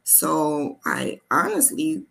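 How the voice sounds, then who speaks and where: A woman's voice: sits low, huge pitch swings, takes it slowly, creaky, a bit bright. A woman vlogger telling a personal story in a casual, conversational way, often backtracking as she goes. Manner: conversational, backtracking, acts out quoted dialogue.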